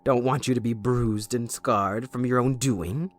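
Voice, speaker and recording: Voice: medium pitch, measured pace, lightly acted. A performer voicing a gleeful, sadistic supervillain kidnapper in an audio roleplay, theatrical, mocking and possessive toward a captive listener. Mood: mock caring. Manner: theatrical, mocking, giggling, swooping between croon and shriek.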